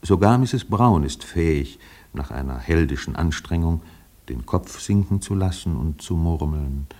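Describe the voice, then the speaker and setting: A man narrating a literary radio play in a measured, precise, faintly ironic manner. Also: extremely low pitch, animated, darkish tone, heavily acted.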